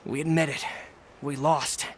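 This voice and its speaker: A man's voice: on the high side, level tone, unhurried, a little hiss or hum, close to an everyday voice. A man playing a resentful street thug bent on revenge in an anime-style video game, defiant and surly. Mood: bitter, surly. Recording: game music behind.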